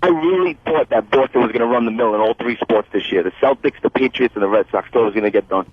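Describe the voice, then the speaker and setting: A man's voice: mid-range voice, quick pace, breathy edge, no room sound. A man calling in to a radio show by phone, chatting casually. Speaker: radio call-in listener. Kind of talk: casual chat. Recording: dull, lo-fi phone call to radio.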